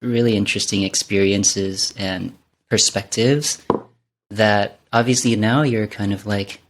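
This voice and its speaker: A man's voice: lowish voice, steady tone, a little slow. A man born in Vietnam hosting a casual interview, responding with encouraging, affirming remarks. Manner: warm, encouraging, affirming replies. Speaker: podcast host.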